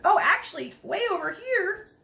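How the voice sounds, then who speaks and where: A woman reading a picture book aloud to young children, expressive, with chatty asides and simple questions to her listeners. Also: very high-pitched, moderate pace, creaky. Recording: roomy echo, some background noise.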